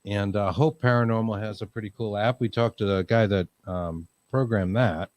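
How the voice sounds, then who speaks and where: Low-voiced, easygoing male podcast host. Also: normal speed, a little mellow, dry recording. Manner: easygoing, filler-heavy, laughs easily. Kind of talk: casual podcast chat.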